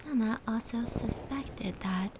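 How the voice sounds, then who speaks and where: A woman acts out a gentle female yokai telling stories in an ASMR roleplay. Her delivery is very unhurried and soothing, soft and breathy, often slipping toward a whisper. Clear rain and brushing noise runs behind her. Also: a little high, lightly acted. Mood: calm storytelling. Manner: unhurried, soothing, measured narration. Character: gentle female yokai storyteller, caring and protective companion. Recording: steady rain and brushing noise layered over. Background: indoor rain patter and fur brushing.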